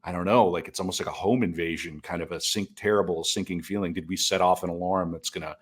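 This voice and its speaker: Deep-voiced man in casual film talk. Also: steady tone, quick pace, slightly rough. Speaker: podcast co-host.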